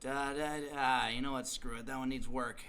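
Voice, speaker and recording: A man's voice: mid-range voice, fairly even intonation, brisk, a bit bright, smooth, natural voice. A man voicing a brash, foul-mouthed, sarcastic teenage delinquent boy in an anime parody dub. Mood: musing. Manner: cocky, sardonic.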